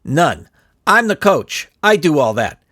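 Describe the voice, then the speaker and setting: A man's voice: on the high side, big pitch swings, takes it slowly, bright, forceful tone, dry recording, studio quality, heavily acted. A man voicing an exasperated, overbearing young soccer coach in a storybook, bossy and emphatic. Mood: exasperated, scolding.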